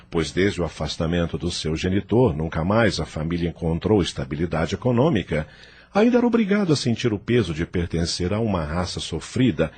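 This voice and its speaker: A man's voice: deep voice, a little mellow, breathy edge. A man narrating an audio novel from a script in a solemn, theatrical, dramatic manner.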